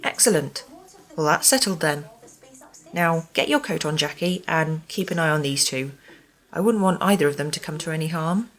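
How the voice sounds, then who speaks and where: An actress playing a stern, officious care-home manager in an amateur radio play, formal, clipped and condescending. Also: female voice, sits low, lively intonation, moderate pace, a bit bright. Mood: smugly firm.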